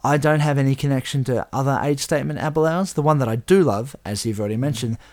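A man co-hosting a casual podcast, chatty and rambling, his voice a little croaky. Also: mid-range voice, fast, a little mellow. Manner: chatty, rambling with restarts and laughs. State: slightly croaky voice. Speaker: podcast co-host. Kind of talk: casual chat.

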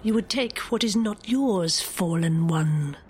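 A woman playing a stern, powerful warrior angel in an audio drama, commanding and deliberate. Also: on the low side, animated, noisy background. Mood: stern, defiant.

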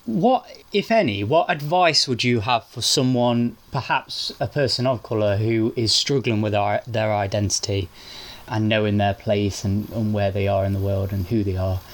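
Male interviewer, careful, slightly low voice. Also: normal speed. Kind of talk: interview questions.

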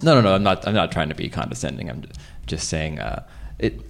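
Casting: male improviser as awkward lab researcher, low voice, halting, politely condescending bureaucrat for improvised radio comedy. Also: lively intonation, vocal fry. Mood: awkward, backpedaling.

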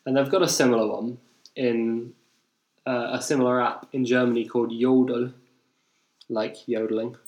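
A man's voice: mid-range voice, little pitch movement, moderate pace, pauses often, a little mellow, dull audio. Young adult man, English accent, casual anecdote teller, podcast host, echoey room. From England. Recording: roomy, echoing indoor recording.

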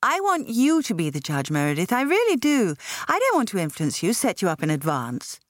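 Brisk, evasive woman in her thirties played by a female narrator, raised pitch, emphatic, dry audiobook sound. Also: huge pitch swings, dry recording. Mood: defensive.

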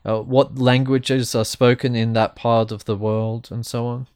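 A man hosting a podcast, giving formal scripted introductions and asking clear questions. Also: medium pitch, little pitch movement, unhurried, a little mellow, dry recording, studio quality. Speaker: podcast host. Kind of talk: podcast interview.

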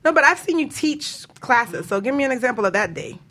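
A woman's voice: sits high, very animated, a little fast, sharp tone, a little hiss or hum. A woman co-hosting a casual radio interview, asking questions and reacting expressively.

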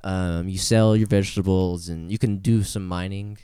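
Guy cohosting a comedy podcast, lowish smooth voice, really animated, swears casually, dry close sound. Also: normal speed, no echo. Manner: casual and profane. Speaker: podcast co-host.

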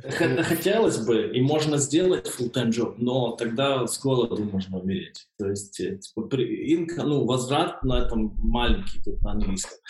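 Guy on a video call, roomy echoey sound, halting chatty talk, lots of uhs. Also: mid-range voice, expressive, a little mellow. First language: not English. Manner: hesitant, lots of fillers and restarts. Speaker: guest, stand-up comic and screenwriter. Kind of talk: casual chat over video call.

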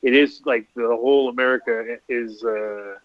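A man chatting as a guest on a radio show, halting and filling gaps with "like". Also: medium pitch, fairly even intonation, no echo. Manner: hesitant, full of "like" fillers. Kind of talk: casual radio talk show chat. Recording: dull lo-fi radio audio, dry.

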